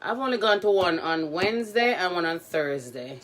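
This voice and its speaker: A woman's voice: fairly low pitch, expressive, normal speed, a bit bright. A woman hosting a casual cooking livestream from her home kitchen, chatting playfully with her viewers. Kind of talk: casual live cooking chat.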